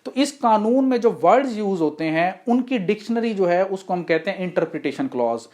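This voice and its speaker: A woman's voice: mid-range voice, lively intonation, brisk, mellow. A woman with a Pakistani accent lecturing on law in an explanatory, didactic way, often posing questions and answering them.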